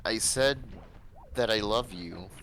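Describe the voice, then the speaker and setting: A man voicing a gentle, earnest young woman in love in a fan story, speaking tenderly and a little haltingly. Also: fairly low pitch, a little hiss or hum, voice pushed up. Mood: earnest, affectionate.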